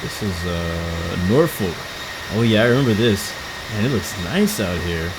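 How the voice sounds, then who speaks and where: Woman, from Houston, very low voice, rambling live-stream chat, clear background noise. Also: a little slow. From Houston, Texas. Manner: rambling and chatty, frequent restarts and stumbles. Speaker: flight sim livestreamer. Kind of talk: casual live-stream chat while flying.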